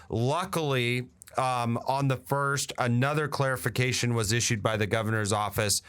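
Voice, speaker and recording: A man's voice: mid-range voice, a bit bright, clean tone. An American man hosting a radio show, explaining industry updates conversationally with emphatic repetition. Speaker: radio show host and real estate agent.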